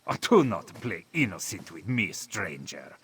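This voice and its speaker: A man's voice: deep voice, sing-song, unhurried, fairly bright tone, breathy edge, heavily acted. An actor voicing a suspicious, scornful man in a fantasy video game, accusing and exasperated in manner. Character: suspicious, scornful male local of a fantasy land. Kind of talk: video game character acting.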